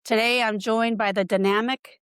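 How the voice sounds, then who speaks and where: A woman's voice: fairly high pitch, fairly even intonation, normal speed, a bit bright, clean tone, no room sound. American woman hosting, dry close sound. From United States. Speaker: podcast host. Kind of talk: casual conversational interview.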